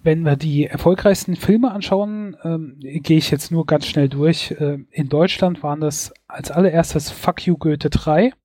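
A man's voice: a little high, unhurried, hardly any pauses, dark tone, dry recording. A man hosting a podcast, chatting casually and with strong opinions about a year's films, with many hesitations. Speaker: podcast host. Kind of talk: casual chat reviewing films.

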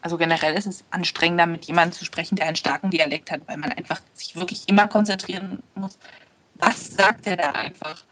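Female panelist, lower voice, measured casual talk, light laughs. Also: fairly even intonation, a bit bright. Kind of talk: casual chat.

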